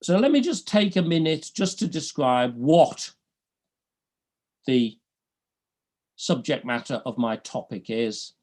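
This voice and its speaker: A man with a Liverpool accent delivers a law lecture at an unhurried pace. His pitch swings widely as he stresses key terms, and he slips in dry jokes. The tone stays measured and academic. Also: lively intonation, darkish tone. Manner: dry humour, deliberate, rises and falls a lot. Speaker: law professor.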